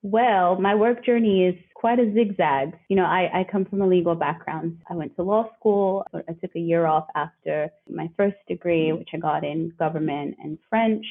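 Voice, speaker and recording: A woman's voice: on the low side, normal speed, few pauses. A woman from the northeastern United States, a guest on an interview podcast, speaking in a chatty, reflective way. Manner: chatty, reflective, light laughs. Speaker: guest, career coach. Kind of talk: conversational interview. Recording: tinny phone-like remote line.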